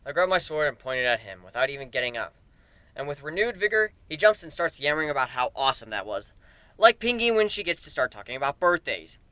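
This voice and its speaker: Expressive man narrating with a bright, clear voice. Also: fairly high pitch, quick pace, no room sound. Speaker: narrator of fan fiction. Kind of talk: dramatic reading, first-person narration.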